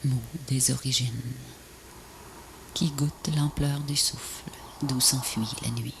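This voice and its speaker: A woman reads a poem slowly in short, solemn phrases. Her voice is gravelly with fry and breathy, often close to a whisper. The old tape recording carries clear background noise. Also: rumbling low, steady tone. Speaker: poet narrator of a video poem. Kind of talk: poetic recitation, scripted voice-over. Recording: noisy VHS transfer, ambient noise.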